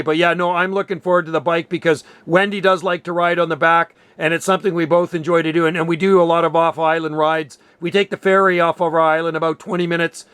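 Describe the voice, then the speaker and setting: A Canadian man talks casually over amateur radio in an informal, chatty way. His voice sits a little high and is clean and smooth, with very little room sound around it. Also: a bit bright, no echo.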